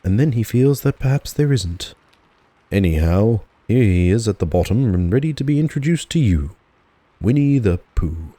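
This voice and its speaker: Deep-voiced, expressive male storybook narrator. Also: normal speed, no room sound. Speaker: audiobook narrator. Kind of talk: relaxed dramatised storybook narration.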